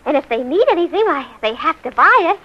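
An actress playing a wheedling, petulant young daughter, eager and halting, in a 1940s radio comedy. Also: female voice, sits high, big pitch swings. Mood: sweet, flattering. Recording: muffled vintage radio recording.

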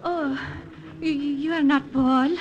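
Casting: young Frenchwoman, French accent, grieving and proud, slow theatrical delivery for vintage radio drama. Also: high voice, fairly even intonation, highs cut off, a little hiss or hum. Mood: anxious, demanding. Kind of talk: 1940s radio drama acting. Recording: old radio broadcast recording.

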